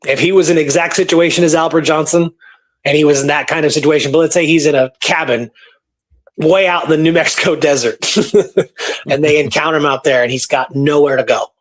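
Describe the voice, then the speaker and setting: Male radio co-host, fairly high voice, conversational history storyteller. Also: steady tone, a little fast. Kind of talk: casual history discussion.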